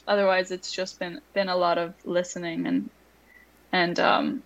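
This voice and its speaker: A young woman, a musician guest in an interview, speaking softly and hesitantly with many ums and likes. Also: on the low side, very animated, moderate pace, lo-fi sound. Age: young adult. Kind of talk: conversational interview.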